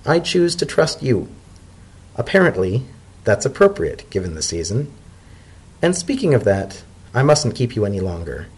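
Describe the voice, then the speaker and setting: A man voicing an elderly female zebra scholar-diplomat in a storybook reading, genteel, shrewd and indulgent, with measured, formal, gently wry speech. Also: medium pitch, big pitch swings, breathy edge, low-quality recording. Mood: warm, satisfied.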